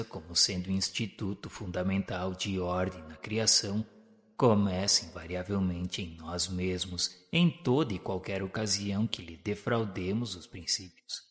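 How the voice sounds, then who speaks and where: Male narrator, Brazilian accent, lowish pitch, solemn formal reading, radio drama, long reverberant tail. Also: fairly bright tone. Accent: Brazilian Portuguese.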